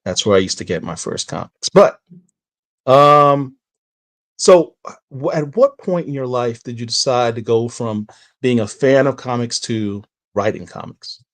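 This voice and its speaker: A man's voice: medium pitch, animated, a little slow. Middle-aged male host from Brooklyn, easygoing and jokey, mid-range voice, talking over a webcam. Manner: friendly, reassuring, jokey. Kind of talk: casual interview. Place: room full of comics.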